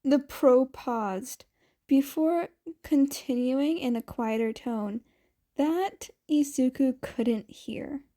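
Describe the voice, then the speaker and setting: A woman with a high voice narrates a story at an unhurried pace. She reads like an amateur audiobook narrator, expressive and following a script. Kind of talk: scripted narration of fan fiction.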